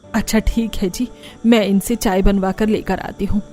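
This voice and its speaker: Woman acting a cartoon mother-in-law, mid-pitched, bossy, scolding, fed up, background music. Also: fairly even intonation, moderate pace, warm tone, lightly acted. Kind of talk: Hindi cartoon story dialogue. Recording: music under the voice.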